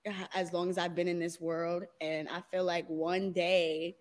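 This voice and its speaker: A woman's voice: on the low side, flat delivery, a little slow. A young adult woman, a dancer and model, talking candidly as a guest in a casual interview, often laughing and using "y'all" and "like.".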